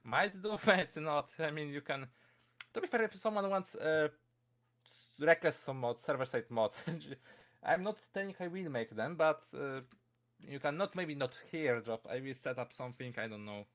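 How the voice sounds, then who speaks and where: A man's voice: fairly high pitch, expressive, normal speed, a bit bright. A young man with a Polish accent giving a casual streamed talk, answering chat questions with many fillers, restarts and frequent chuckles. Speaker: convention presenter.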